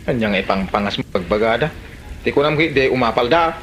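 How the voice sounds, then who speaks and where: A man's voice: medium pitch, animated, fairly quick, a bit bright, slight background noise, natural voice, poor audio. A man playing a brash, hot-headed grown son who boasts and threatens, in an Ilocano Filipino comedy drama, with an Ilocano accent. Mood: defensive, threatening.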